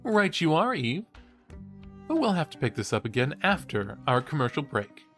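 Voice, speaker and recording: Cheeky college guy doing true crime podcast, chatty n animated, music low behind. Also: normal speed. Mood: playful, wry. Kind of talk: conversational audio drama acting as podcast host.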